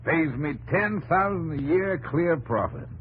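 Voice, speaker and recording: A man's voice: on the high side, very animated, a little slow, a bit bright. A man playing a seasoned, fatherly, self-assured rancher with a folksy manner in a 1950s radio drama. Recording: faintly noisy old radio broadcast.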